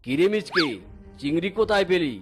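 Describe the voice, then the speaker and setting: A man's voice: high voice, animated, brisk. A man voicing a blustering, greedy tiger uncle in a cartoon, grumbling and indignant. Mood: suspicious. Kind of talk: exaggerated cartoon dialogue. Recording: music behind the voice.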